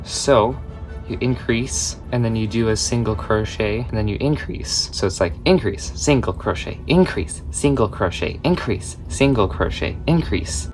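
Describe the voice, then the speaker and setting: A man's voice: fairly low pitch, a little slow, darkish tone, poor audio. A young man in his late teens, a crochet vlogger, talking through a project in a chatty, rambling way that drifts off topic. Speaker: crochet vlogger and small shop owner. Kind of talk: casual crochet tutorial chat. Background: background music.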